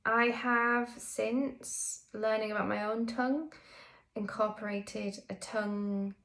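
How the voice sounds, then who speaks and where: A woman from the UK talks through her own experience in a casual personal vlog, candid and chatty. She is a singer and vocal coach, and her middle-range voice carries a gravelly touch of vocal fry. She often stops to say um and correct herself mid-sentence. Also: medium pitch, steady tone, slow, fairly bright tone. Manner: chatty, candid, frequent ums and self-corrections.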